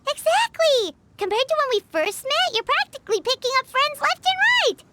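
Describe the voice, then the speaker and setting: A woman voicing a small, cheerful, childlike fairy companion in a video game, squeaky and eager. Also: sing-song, fairly quick. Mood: delighted. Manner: chirpy, eager. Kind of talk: video game character voice acting. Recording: music behind the voice. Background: loud tinkly game music.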